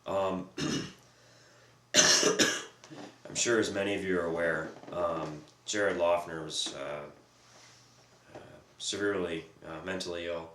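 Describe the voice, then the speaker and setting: A man recovering from a cold, hoarse and hesitant, filling pauses with ums as he co-hosts a casual discussion. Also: low voice, steady tone, pushed and bright. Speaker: co-host. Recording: echoey room, distant mic, faint noise.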